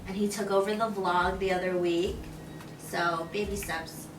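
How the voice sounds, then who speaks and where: A woman's voice: mid-range voice, steady tone, measured pace, echoey room, far from the mic, music in the background, poor audio. A young woman, a YouTuber, chatting casually in a couple Q&A, giggly and saying "like" a lot. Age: young adult.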